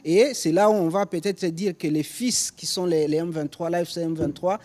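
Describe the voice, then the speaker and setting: A man's voice: fairly high pitch, steady tone, moderate pace, a little mellow. A man from DR Congo with a Congolese French accent, answering interview questions on current affairs and often repeating words as he searches for phrasing. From DR Congo, Rutshuru in North Kivu.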